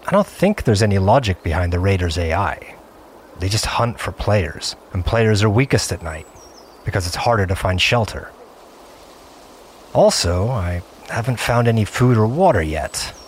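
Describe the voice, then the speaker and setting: A man's voice: on the low side, sing-song, moderate pace, a little hiss or hum. A man playing an earnest, obsessive gamer in an audio drama, confiding his play session in short, broken-up phrases. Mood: wary, tense. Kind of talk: first-person scripted audio drama narration.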